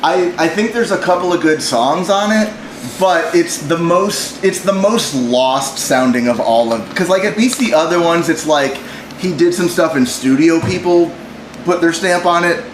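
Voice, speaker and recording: A man's voice: fairly high pitch, moderate pace. A man co-hosting a podcast, making his points in a thoughtful, conversational way. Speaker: podcast co-host. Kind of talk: casual podcast chat. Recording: roomy echo with faint hiss.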